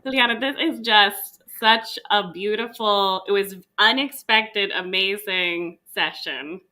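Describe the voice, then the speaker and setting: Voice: medium pitch, slow pace, sharp tone. An interview host opening a live online session on a video call, upbeat and enthusiastic with the odd um. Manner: enthusiastic, upbeat, occasional ums.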